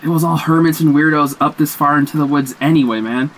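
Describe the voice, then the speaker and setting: An actor playing a cocky, dismissive young slacker with casual, slangy speech in a horror audio drama. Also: male voice, on the high side, fairly even intonation, fast talker, fairly bright tone, a little hiss or hum, close to an everyday voice, low-quality recording. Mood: coaxing.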